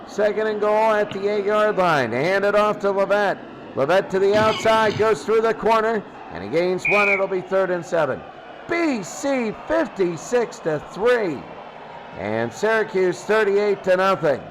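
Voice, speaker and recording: American guy doing football play by play, pretty high voice, really noisy recording. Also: expressive, measured pace. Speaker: play-by-play commentator. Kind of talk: live American football commentary.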